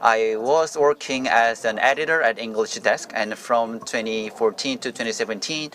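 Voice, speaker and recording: A man interprets a conference talk into English on the fly. His voice sits at a middling pitch, and his delivery halts and restarts as he catches up with the speaker. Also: fairly bright tone. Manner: halting, restarts phrases mid-sentence. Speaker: interpreter voicing a journalist's speech. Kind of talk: simultaneous interpretation of a forum presentation.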